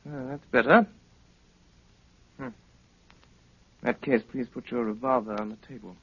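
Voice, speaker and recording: An actor playing a cool, sardonic, commanding gentleman detective in a 1930s radio drama, speaking with clipped, dryly polite authority. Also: mid-range voice, moderate pace, natural voice. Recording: muffled, noisy 1930s radio recording.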